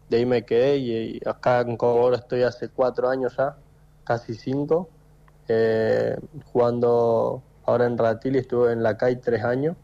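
A man in his twenties with an Argentine accent, a footballer answering radio interview questions haltingly, with many fillers and repeated words. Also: medium pitch, highs cut off. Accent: Argentine Spanish. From Tucumán, Argentina. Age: 20s. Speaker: footballer guest.

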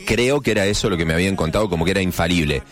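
A man's voice: low voice, very fast, soft background music. A man from Argentina with a Córdoba accent, hosting a casual morning radio show with chatty, joking asides. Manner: chatty, jokey asides, playful mock voices. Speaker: radio host.

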